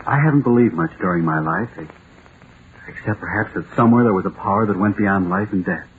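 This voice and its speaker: A man's voice: lowish voice, brisk, slight background noise, lightly acted. Man acting distraught accused man, muffled old radio. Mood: haunted, earnest. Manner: pleading, intense, rising into hysteria. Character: distraught accused man insisting a monster is real.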